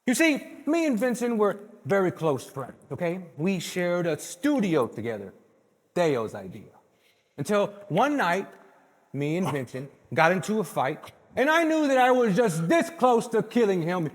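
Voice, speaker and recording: High, animated boastful painter in echoey hall man acting. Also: moderate pace. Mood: confiding. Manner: theatrical, conversational, addressing the audience. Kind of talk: stage play monologue.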